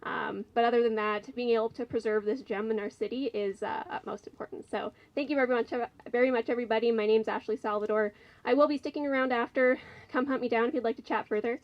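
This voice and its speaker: A woman in her thirties, a council candidate, giving a measured, polished speech with some fillers into a mic in an outdoor tent. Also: fairly high pitch, fairly even intonation, dry recording, highs cut off. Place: outdoor tent at a community forum. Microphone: mic on a stand.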